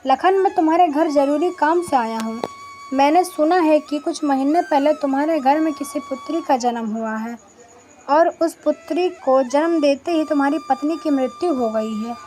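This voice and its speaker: A woman voicing a scheming, persuasive male village headman in a moral story, with measured, reassuring speech. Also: high-pitched, dark tone, a little hiss or hum, close to an everyday voice.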